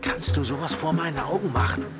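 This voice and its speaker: An actor playing an anxious, exasperated mob lawyer in a German radio drama, agitated and protesting. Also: male voice, on the high side, fairly quick, lightly acted. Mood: appalled. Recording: music behind the voice.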